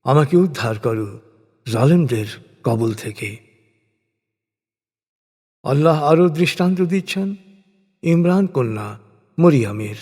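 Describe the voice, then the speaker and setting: A man reading a scripture translation as a solemn scripted narration, in measured, formal phrases that fall at the ends. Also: fairly high pitch, lively intonation, warm tone. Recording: long hall-like reverb.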